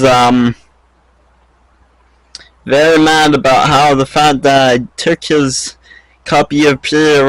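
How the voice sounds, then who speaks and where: A man hosting a goofy comedy vlog, raspy from a cold, talking hesitantly with many ums and restarts. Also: mid-range voice, a bit bright, no room sound, poor audio. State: has a cold. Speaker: vlog host.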